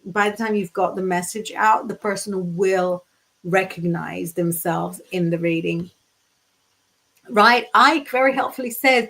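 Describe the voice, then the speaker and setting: Woman from the UK, tarot reader, talkative and animated, reads comments aloud. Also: medium pitch. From United Kingdom. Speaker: psychic tarot reader, guest teacher. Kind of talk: casual livestream teaching chat.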